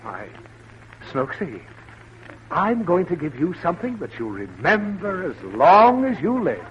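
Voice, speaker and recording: Exasperated, blustering father, high-pitched and swooping, 1940s radio comedy style, muffled recording. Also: wildly swooping pitch, a little slow, slight background noise, full character voice. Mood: scheming, irritated. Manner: flustered, blustering. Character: exasperated, excitable father of a bratty girl.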